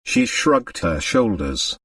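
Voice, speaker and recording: A man's voice: fairly low pitch, very animated, takes it slowly, fairly bright tone, breathy edge, dry recording, distant mic. A man narrating fiction as a scripted audiobook, reading expressively.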